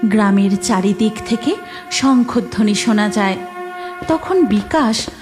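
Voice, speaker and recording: A woman's voice: medium pitch, animated, a little slow, a little mellow, faint music behind. A woman narrating a scripted cartoon story as storyteller.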